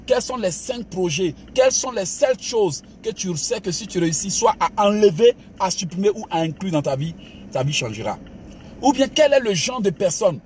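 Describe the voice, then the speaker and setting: Male pastor, fairly high voice, exhorting sermon style. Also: a little mellow, a little hiss or hum. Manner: emphatic preacher, calls listeners "bien aimé", mixes exhortation with laughs. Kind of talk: motivational sermon.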